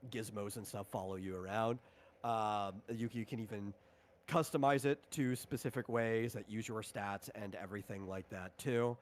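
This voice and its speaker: Man, medium pitch, game master type explaining options in relaxed stream chat with frequent asides. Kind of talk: casual explanatory stream talk.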